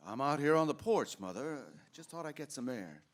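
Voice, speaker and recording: A male actor plays a frustrated, earnest small-town young man in an old-time radio drama. He drawls and stammers hesitantly, and his pitch swings widely as his indignation rises. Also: lively intonation, normal speed, close to an everyday voice. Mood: wry, subdued.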